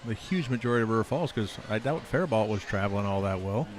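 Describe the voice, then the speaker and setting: Low-voiced man with a cold, adding color. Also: lively intonation, fast. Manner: brief agreeing interjections, laughs easily. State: has a cold, sniffly. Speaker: color commentator. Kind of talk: baseball color commentary. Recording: crowd noise in the background.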